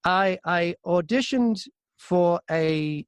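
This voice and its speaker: A man's voice: sits high, slow pace, clear, resonant tone, no echo. A man who narrates audiobooks, chatting casually as an interview guest and acting out his thoughts and messages as he tells stories.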